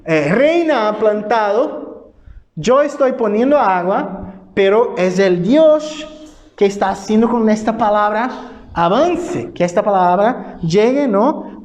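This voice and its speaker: A man's voice: high-pitched, a little mellow. A man from Brazil, a native Portuguese speaker with a Brazilian accent, giving an informal church lecture in a didactic way with frequent checking tag questions.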